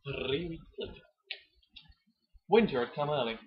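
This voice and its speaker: A man's voice: on the high side, lively intonation, unhurried, slightly rough. A man giving a theatrical, mock-dramatic amateur reading of a romance novel, breaking off for joking asides. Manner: jokey, theatrical, breaks off to comment. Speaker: amateur reader and commentator. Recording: dull, muffled lo-fi recording.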